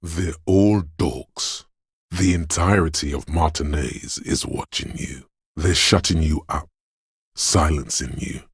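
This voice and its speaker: Super deep husky paranoid inner voice for a video game, slow n ominous, like raw fear and menace talking. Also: male voice, no echo, studio quality, heavily acted. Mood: aggressive, paranoid. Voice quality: gravelly, husky, breathy. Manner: slow, ominous, intense delivery. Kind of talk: dramatic video game voice acting.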